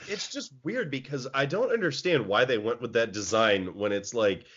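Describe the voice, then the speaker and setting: A man in a middle pitch chats casually and animatedly, laughing and leaning on fillers as he goes. The recording sounds dull and lo-fi. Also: lively intonation, normal speed, a bit bright, slightly rough. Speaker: podcast co-host. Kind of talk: casual chat.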